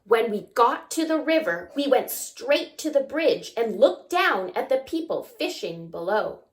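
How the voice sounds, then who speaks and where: A woman's voice: a little high, unhurried. A woman reading a picture book aloud to young children, expressively, with asides and questions to the listeners. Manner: expressive, acts out sounds and asks the listeners questions. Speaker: story time reader.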